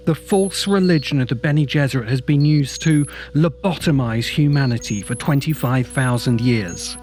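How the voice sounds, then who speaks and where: A man's voice: mid-range voice, a little mellow. Man narrating an emphatic video essay. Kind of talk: scripted narration. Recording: music behind the voice. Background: background music.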